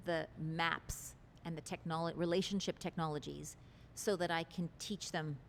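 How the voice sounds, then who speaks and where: A woman's voice: low-pitched, steady tone, normal speed, gravelly, a little hiss or hum. A woman guest in an interview, talking about relationships in an articulate, expansive and earnest way. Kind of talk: interview conversation.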